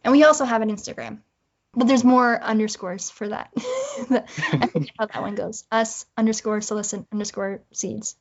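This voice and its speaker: A woman's voice: a little high, animated, moderate pace. A woman chatting casually and effusively as an interview guest, on slightly lo-fi audio. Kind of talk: casual chat.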